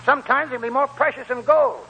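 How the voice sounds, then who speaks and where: An actor playing a wily, scornful old American gold prospector in a 1940s radio drama, with a raspy, creaky voice and folksy rambling talk. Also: male voice, very high-pitched, fairly even intonation, a little fast, sharp tone, exaggerated voice, poor audio. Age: older.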